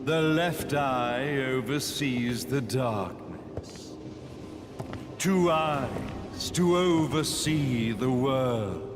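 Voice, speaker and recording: A man's voice: mid-range voice, lively intonation, extremely slow, music in the background. A man playing a grandiose, scheming zealot villain in a video game, with a pompous, ceremonial delivery. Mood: smug, ominous. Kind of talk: dramatic video game cutscene monologue.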